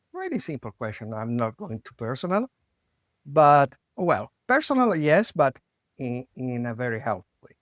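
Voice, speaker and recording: A man from Italy with an Italian accent, hosting an interview in a halting way, searching for words with many fillers and restarts. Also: mid-range voice, no room sound, clean studio sound. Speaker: podcast host.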